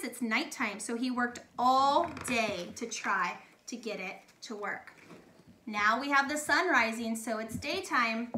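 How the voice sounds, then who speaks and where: A woman's voice: sits high, bright, forceful tone. A woman teacher narrating a children's storybook expressively and emphatically, speaking directly to young children. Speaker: teacher reading aloud to children. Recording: echoey room with faint noise.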